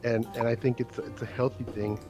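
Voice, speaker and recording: Earnest man with halting restarts. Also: mid-range voice, flat delivery, music in the background. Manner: earnest, halting, many restarts and repeated words. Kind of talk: casual heartfelt conversation.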